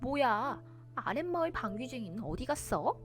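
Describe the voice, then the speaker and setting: Woman voicing a giggly, taunting folktale braggart, high-pitched. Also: sing-song, moderate pace, soft background music. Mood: brash. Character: mischievous cackling braggart farting champion of folktale. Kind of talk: children's folktale character voice.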